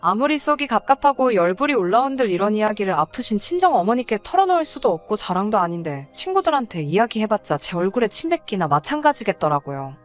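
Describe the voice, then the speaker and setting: A woman reading a scripted first-person personal story as a narrator. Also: a little high.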